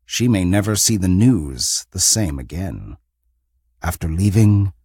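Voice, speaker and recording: A man hosting an audio drama anthology, reading a scripted, eerie introduction in a measured, dramatic way. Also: low-pitched, expressive, warm tone, husky. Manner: measured, dramatic, deliberate delivery.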